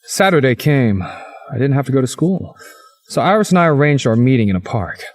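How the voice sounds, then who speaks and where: A man's voice: medium pitch, sing-song, normal speed. A man playing a wry teenage schoolboy who narrates his own supernatural visual novel story. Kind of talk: first-person visual novel narration and dialogue.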